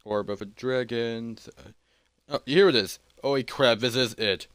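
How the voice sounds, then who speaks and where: A man's voice: mid-range voice, unhurried, a bit bright, clear, resonant tone, dry recording, studio quality, close to an everyday voice. A man voicing a cautious, scholarly adventurer who mutters hesitantly to himself in a fantasy story. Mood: searching, astonished.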